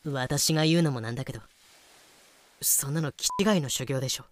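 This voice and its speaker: A woman's voice: very deep voice, fairly quick, no room sound. A woman voicing a frank, knowing lady who explains things drily in an amateur voice drama. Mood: dry, explaining.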